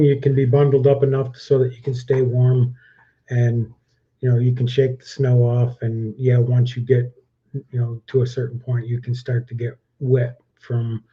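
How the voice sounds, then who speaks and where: A man in his fifties talks in a flat, steady, mid-pitched voice on a casual livestream. He pauses and restarts with ums. The audio is dull and lo-fi. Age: 50s. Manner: even, measured, restarts with ums. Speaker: co-host of a livestream. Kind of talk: casual question-game chat.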